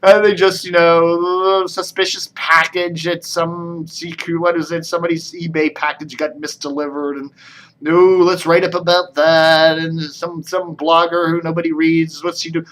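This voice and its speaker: A man's voice: on the high side, level tone, moderate pace, a bit bright. An American man giving casual, rambling commentary as a video blogger, with a wry, mildly sarcastic manner.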